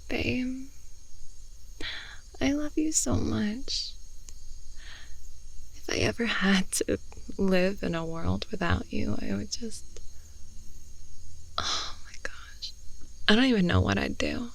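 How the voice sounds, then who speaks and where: A woman playing an affectionate, rambling girlfriend in an intimate improvised ASMR roleplay, soft and hesitant, often slipping into whispers, close to the mic. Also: mid-range voice, sing-song, takes it slowly, vocal fry, pauses often, full character voice, low-quality recording. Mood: sad, loving. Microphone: close binaural headphone-oriented microphone.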